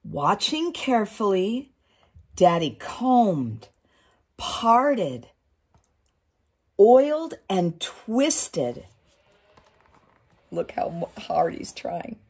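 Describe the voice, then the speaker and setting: Very slow, expressive woman storyteller. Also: mid-range voice, breathy edge. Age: middle-aged. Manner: expressive, drawn-out phrasing with questions to young listeners. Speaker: community storytime reader. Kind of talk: animated picture-book reading for children with chatty asides. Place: small wood-panelled room indoors.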